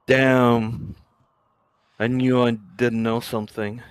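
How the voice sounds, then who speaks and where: A man's voice: medium pitch, flat delivery, slow, clean tone, no room sound. A man making casual, joking commentary as co-player during a game playthrough.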